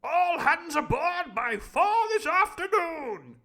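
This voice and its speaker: A man voicing a red-faced, posh old English squire who bellows his lines slowly and pompously in a posh English accent. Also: very animated, sharp tone, heavily acted. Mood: bellowing. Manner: booming, slow and pompous.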